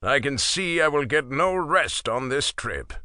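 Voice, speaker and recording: A man's voice: mid-range voice, expressive, unhurried, dry recording, clean studio sound. A man doing a boastful stubborn older father. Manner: boastful, loud.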